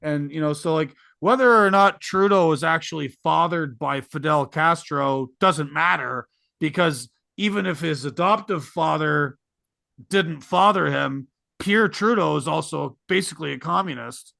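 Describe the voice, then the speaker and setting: Middle-aged podcast host, raspy gravelly voice pitched somewhat high, sarcastic and rambling. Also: male voice, measured pace, sharp tone, dry recording. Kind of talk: casual podcast conversation.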